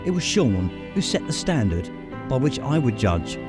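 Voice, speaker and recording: A man reading a memoir aloud as an audiobook narrator, in measured storytelling phrases. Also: medium pitch, very animated, loud music behind the voice. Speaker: audiobook reader. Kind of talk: scripted literary narration.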